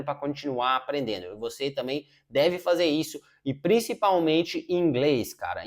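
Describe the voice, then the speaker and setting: A man talks through everyday life advice on a lapel mic. His delivery is informal and chatty, full of filler pauses and friendly asides. His pitch sits in the ordinary middle range for a man. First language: Portuguese. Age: 30s. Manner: informal, says "cara" a lot, many filler "é" pauses. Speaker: self-improvement YouTuber. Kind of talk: casual advice talk. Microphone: wireless lapel mic.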